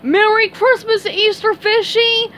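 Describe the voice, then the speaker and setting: A woman voicing a dim, boisterous, childlike male cartoon starfish in a children's story, loud and eager. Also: very high-pitched, steady tone, takes it slowly, slight background noise, voice pushed up, heavily acted. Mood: cheerful.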